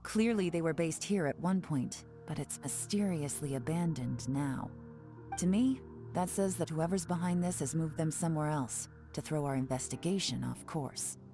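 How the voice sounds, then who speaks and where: A woman voicing a shrewd, self-assured female intelligence agent in a video game, composed and deliberate. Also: deep voice, music in the background. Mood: cool, analytical.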